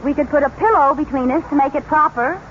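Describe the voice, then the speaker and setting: A woman's voice: high voice, fast talker, a little hiss or hum, lightly acted. A woman playing a wry, kindly but exasperated married woman in old radio comedy, theatrical and wisecracking, on a muffled recording. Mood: encouraging, wry. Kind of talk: 1950s radio sitcom acting.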